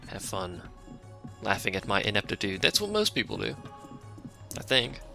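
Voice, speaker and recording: A man with a deep voice giving casual, rambling commentary while he plays a game and chats with his stream viewers, offhand and self-deprecating. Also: sing-song, normal speed, gravelly, bright, forceful tone, soft background music. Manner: chats with a live stream chat. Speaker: let's play streamer.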